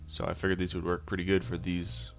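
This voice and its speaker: A man narrating a DIY prop-building tutorial in a casual, unscripted and halting way. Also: low voice, completely flat delivery, creaky. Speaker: DIY prop-making video host. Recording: instrumental music behind the voice. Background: music.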